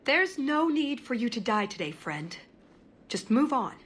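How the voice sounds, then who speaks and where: A woman's voice: on the high side, big pitch swings, unhurried, fairly bright tone, husky, exaggerated voice. A woman playing a wry, determined young female elf in a video game, speaking in a dry, earnest way. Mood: serious, guarded. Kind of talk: video game dialogue acting.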